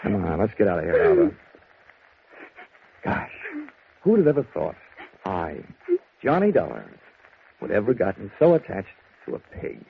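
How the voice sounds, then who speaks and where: A man's voice: on the low side, normal speed, vocal fry, long pauses, slight background noise, full character voice. An actor playing a wry, breezy male insurance investigator, narrating in 1940s radio detective style. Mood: indignant, rueful. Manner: wry, deadpan humour. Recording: dull old radio recording with music.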